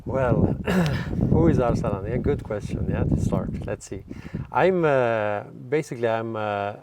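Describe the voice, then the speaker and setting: A man's voice: medium pitch, animated, measured pace, very noisy. A man in his forties from Sweden, a native Persian speaker, chatting casually as an interview guest and often repeating words as he thinks. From Sweden, born in Iran. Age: 40s. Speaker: podcast guest, dentist. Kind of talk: casual chat.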